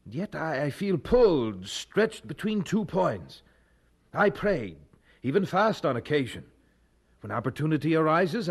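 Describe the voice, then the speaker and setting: An actor playing an earnest, troubled young university student in a radio drama, speaking formally and with some hesitation. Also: male voice, a little high, husky, exaggerated voice.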